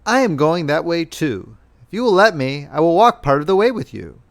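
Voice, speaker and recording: Sly wicked wolf, played by a male narrator, falsely polite, coaxing, raised pitch, storybook reading. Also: very animated, normal speed. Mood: falsely friendly. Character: wicked sly wolf, feigning friendliness and a grandmother's voice. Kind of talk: storybook character voice in fairy tale reading.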